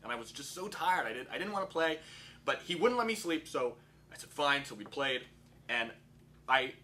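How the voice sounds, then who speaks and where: A man's voice: medium pitch, sing-song, normal speed, sharp tone, slight background noise. A man in his thirties, a guest and old friend, telling rambling stories in an easygoing way. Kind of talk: casual storytelling.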